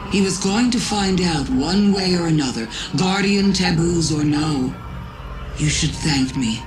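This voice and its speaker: A man playing a smug, soft-spoken, scheming manipulator in a fantasy video game, speaking deliberately and insinuatingly. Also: fairly high pitch, poor audio. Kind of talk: video game cutscene acting. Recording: loud music behind the voice.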